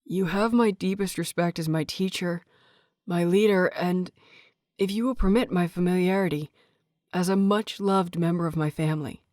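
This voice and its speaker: A woman's voice: on the low side, normal speed, darkish tone, dry recording, studio quality, natural voice. A woman voicing an earnest young man, a respectful disciple who speaks politely and formally, in an audio story.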